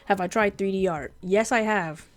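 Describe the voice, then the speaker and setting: A woman whose first language is Japanese, with a fairly deep voice, chatting casually and bluntly on a drawing livestream, swearing freely. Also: moderate pace, faint music behind. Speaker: streamer and artist.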